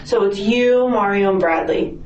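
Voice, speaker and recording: A woman's voice: medium pitch, moderate pace, a little mellow, soft background music. An American woman working as a police detective, asking questions in a sympathetic way and often checking answers. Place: police interview room. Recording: distant echoey mic, dull audio, faint noise.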